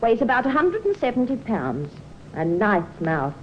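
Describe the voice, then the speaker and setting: A woman's voice: a little high, big pitch swings, normal speed, a little hiss or hum. An actress playing a chatty, indignant married woman, forthright and gossipy, in an old time radio drama. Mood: chatty, admiring. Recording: muffled old radio recording.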